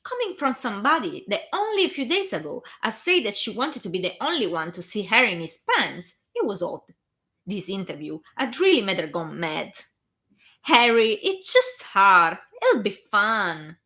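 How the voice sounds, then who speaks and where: A woman with a strong Italian accent reads a story aloud on a live stream, and she often breaks off for chatty asides. Her voice is bright and animated, and it rises and falls a great deal. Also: mid-range voice, fairly quick. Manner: lively, chatty, self-conscious asides. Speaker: fan fiction reader and live streamer.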